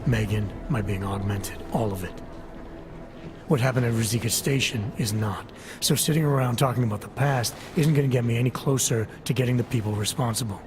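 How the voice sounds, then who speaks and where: An actor playing a guarded, terse augmented security agent with an American accent, in a game cutscene. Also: male voice, mid-range voice, fairly quick, close to an everyday voice. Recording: game cutscene with loud music.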